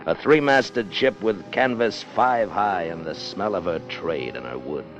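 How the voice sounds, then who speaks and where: Low-voiced, ruthless old-radio sailor. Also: male voice, animated, unhurried. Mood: grim relish. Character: ruthless, brazen murderous sailor turned first mate. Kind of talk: 1950s radio drama acting and narration. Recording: muffled 1950 radio broadcast with music.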